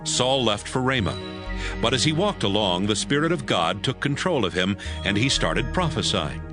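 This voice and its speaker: A man's voice: lowish voice, very animated, normal speed, over music. A man narrating a dramatized scripture audiobook.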